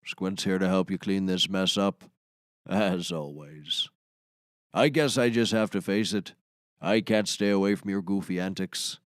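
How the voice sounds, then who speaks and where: A man playing a deadpan, self-important auctioneer who hosts a small comedy talk show. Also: low voice, measured pace, no echo, clean studio sound. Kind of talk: scripted comedy acting.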